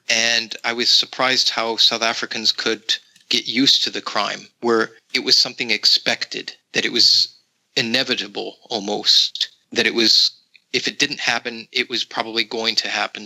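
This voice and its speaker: A man from the Netherlands, a guest on a podcast, chatting casually and telling stories with frequent pauses. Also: lowish voice, creaky, low-quality recording. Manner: relaxed storytelling with frequent pauses.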